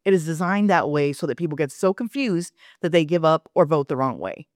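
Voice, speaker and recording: A woman's voice: low-pitched, big pitch swings, brisk, dry recording, clean studio sound. A woman speaking earnestly on a panel discussion, explaining at length with quoted asides. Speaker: panel guest, community organizer.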